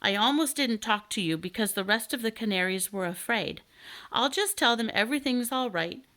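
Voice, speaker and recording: A woman's voice: a little high, animated, moderate pace, a bit bright. A woman reading a children's storybook as a chatty, worried little canary, polite and talkative. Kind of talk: children's storybook read aloud.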